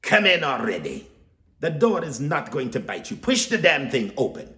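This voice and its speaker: A man's voice: lowish voice, very animated, slow, full character voice. A man voicing a bossy, stout female psychic with a thick accent, who talks in a brusque, commanding way. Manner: brusque, commanding, loud and drawn out.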